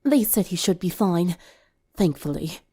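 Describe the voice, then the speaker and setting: A woman voicing a weary, worried teenage girl in a story reading, speaking in a subdued, halting way that turns breathy. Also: on the low side, expressive, mellow, exaggerated voice.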